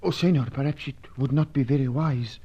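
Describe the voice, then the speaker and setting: Polite careful sidekick, soft mellow voice, old radio drama, muffled recording. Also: male voice, medium pitch, a little fast, husky. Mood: cautious, polite. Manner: formal, deferential. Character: cautious, courteous male Latin sidekick to a spy. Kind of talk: old radio serial acting.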